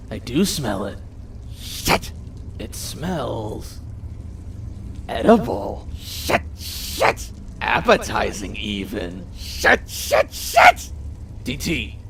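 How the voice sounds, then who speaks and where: A man's voice: mid-range voice, sing-song, slow, gravelly, fairly bright tone, distant mic, slight background noise, exaggerated voice. A man playing a short-tempered, sarcastic vampire gang boss who swears and barks orders, in an amateur audio drama. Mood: intrigued, agitated. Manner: profane, sarcastic, bossy.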